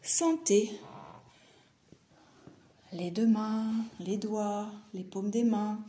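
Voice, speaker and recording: A woman teaching yoga nidra, guiding a meditation in short, soothing phrases with long pauses. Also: medium pitch, steady tone, dark tone. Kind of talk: guided meditation.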